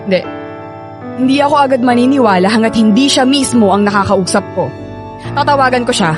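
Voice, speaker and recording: A woman's voice: on the high side, brisk, lightly acted. An actress with a Filipino accent playing a pregnant young woman betrayed by her partner, emotional and pleading, in a radio drama. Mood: shocked, angry. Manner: emotional, insistent, repeats words and pleads. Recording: dramatic music bed under the voice. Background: music.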